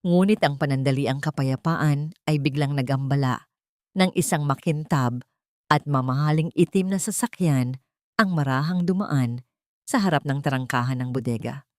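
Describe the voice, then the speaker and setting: A woman's voice: deep voice, normal speed, dry recording, studio quality. A woman reading scripted dramatic story narration in a solemn manner.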